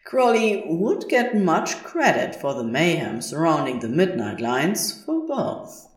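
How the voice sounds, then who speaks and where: A woman's voice: low-pitched, very animated, slow, background noise. A woman reading scripted fiction aloud as a podfic narrator.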